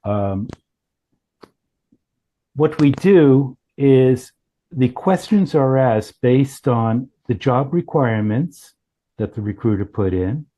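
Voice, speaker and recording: A man's voice: medium pitch, expressive, slow, pauses often, dark tone, rough recording. A man giving an informal product presentation as a company founder, explaining things with frequent self-corrections.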